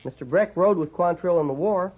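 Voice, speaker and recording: A man's voice: high-pitched, brisk, breathy edge. An actor playing an earnest, plain-spoken country boy with a rural American accent in an old-time radio western, simple and folksy. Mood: matter-of-fact. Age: child character. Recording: muffled vintage radio recording.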